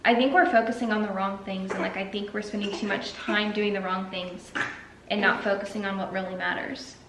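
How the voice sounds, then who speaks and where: A woman's voice: mid-range voice, fairly even intonation, a little fast, reverberant, noisy background. Young woman rambling with lots of "like". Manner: rambling, heavy use of like. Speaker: vlogger. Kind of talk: casual family vlog talk.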